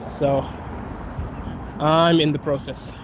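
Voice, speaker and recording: A young man from Zimbabwe hosting a relaxed live Q&A while walking outdoors. He has his sinuses bothered by pollen, laughs often and drags out some words. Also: fairly high pitch, slow pace, noisy background. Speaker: host. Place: outdoors on a city street.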